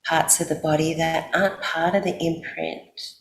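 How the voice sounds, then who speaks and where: A woman with an Australian accent guides a sleep meditation in a soothing, unhurried way, leaving long pauses. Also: deep voice, flat delivery. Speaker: yoga nidra guide. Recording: roomy echo, faint background noise.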